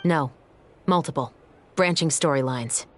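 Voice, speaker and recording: A woman's voice: low voice, a little slow, a little hiss or hum. A woman playing a stern, composed young police captain in a video game, terse and authoritative. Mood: cool, cryptic.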